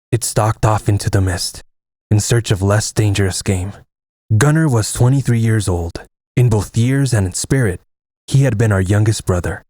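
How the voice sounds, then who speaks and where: Man acting a low-voiced reflective soldier narrator. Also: mellow, no echo, studio quality, close to an everyday voice. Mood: somber. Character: weathered American soldier narrating a wartime jungle adventure. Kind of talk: scripted dramatic narration.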